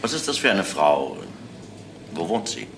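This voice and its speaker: An actor playing a courteous, matter-of-fact police inspector in a crime drama, asking probing questions in a businesslike way. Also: male voice, low-pitched, very animated, unhurried, full character voice. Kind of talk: German crime drama acting. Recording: echoey room, clearly noisy old soundtrack.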